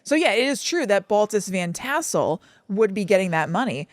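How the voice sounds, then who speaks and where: Lively, agreeable woman in casual podcast chat. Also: medium pitch, moderate pace, dry recording, clean studio sound. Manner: agreeable, enthusiastic, slangy. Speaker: podcast co-host.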